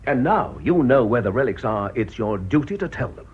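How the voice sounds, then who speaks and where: A British actor playing a self-important television interviewer in a radio drama, politely insistent and pressing. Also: male voice, medium pitch, very animated, normal speed, husky. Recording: muffled, dull radio drama recording.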